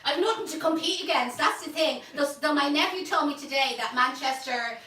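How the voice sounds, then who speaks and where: A woman's voice: sits high, brisk, background noise. A woman with a Dublin accent, hosting a live flower-arranging class and chatting her way through the demonstration. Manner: chatty, homely, jokes asides. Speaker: florist teacher and host. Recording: roomy, mic at a distance.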